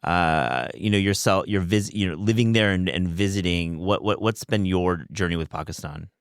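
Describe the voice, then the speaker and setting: Deep-voiced, effusive male podcast host. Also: moderate pace, clean tone, no echo, clean studio sound. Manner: effusive, stumbling repetitions. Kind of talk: casual interview chat. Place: recording studio.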